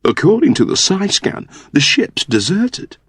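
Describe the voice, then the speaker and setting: A man's voice: sits high, sing-song, measured pace, a bit bright, slightly rough, pitched above the performer's own voice, heavily acted. A man voicing an earnest, precise android who explains things formally, in a science fiction audiobook. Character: earnest, precise male android aboard a spaceship.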